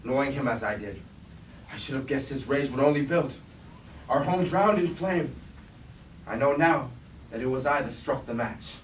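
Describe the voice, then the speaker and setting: A man's voice: medium pitch, normal speed, long pauses, close to an everyday voice. Distant, echoey guilt-ridden robot leader played by a man. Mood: remorseful. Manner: solemn, formal, archaic declamation. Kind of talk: staged reading of mock Greek tragedy. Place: indoor performance space, recorded from afar. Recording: echoey stage room, distant mic, faint noise.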